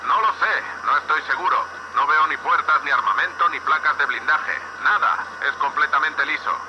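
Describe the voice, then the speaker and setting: A man's voice: fairly high pitch, moderate pace, pushed and bright. A man with a Castilian Spanish accent playing a blunt, foul-mouthed space salvage boss in a sci-fi audio drama, heard over a radio link. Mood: intrigued, focused. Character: gruff, sweary space salvage boss, awed by alien tech. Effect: radio comms filter. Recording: muffled, noisy space-suit radio link.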